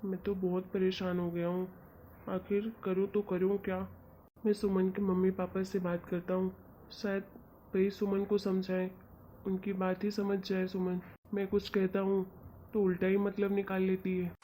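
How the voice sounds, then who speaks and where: A woman's voice: medium pitch, completely flat delivery, normal speed, warm tone, slight background noise, close to an everyday voice. A woman playing an exasperated, reasoning husband in an amateur cartoon story. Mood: weary, resigned. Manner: reasoning, chiding, colloquial. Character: exasperated young husband, patient and teasingly lecturing. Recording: dull, lo-fi audio.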